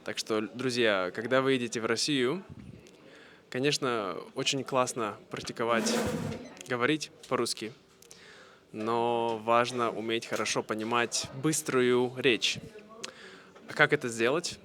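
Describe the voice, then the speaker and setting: A man from Russia vlogging casually to camera, speaking simply and clearly for language learners with easy fillers. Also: medium pitch, a little slow, fairly bright tone. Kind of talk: casual vlog talk to camera.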